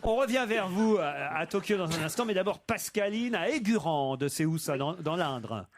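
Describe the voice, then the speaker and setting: A man hosting a radio panel show, bantering and telling jokes in an animated, theatrical way. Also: sits high, normal speed, a little hiss or hum.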